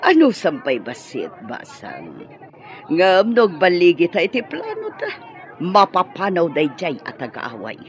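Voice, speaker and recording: Conniving older villainess mother, played by a woman, Ilocano accent, hugely animated yet slow, theatrical radio drama scheming. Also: on the low side, lo-fi sound, a faint crowd, slight background noise. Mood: plotting. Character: conniving older mother plotting for her daughter.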